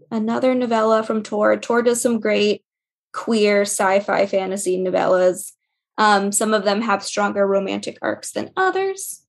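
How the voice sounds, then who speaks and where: A woman with a mid-pitched voice chats casually about recommendations. She sounds enthusiastic and lets her phrases string loosely together, like a podcast co-host. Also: vocal fry. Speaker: podcast guest co-host giving book recommendations. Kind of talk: casual book recommendation chat.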